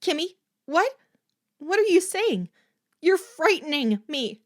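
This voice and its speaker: A woman voicing a scared, injured teenage girl, crying out high and loud in fear. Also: lively intonation, a little slow. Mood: frightened. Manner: high, shrill cries of fear.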